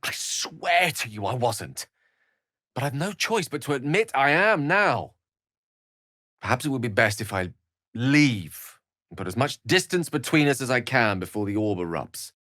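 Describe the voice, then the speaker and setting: A man's voice: mid-range voice, big pitch swings, a little slow, pushed and bright, no room sound, studio quality. An actor voicing a learned, witty, self-assured male wizard in a video game, with a wry, theatrical and bookish way of speaking. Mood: pained, earnest. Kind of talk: video game character voice acting.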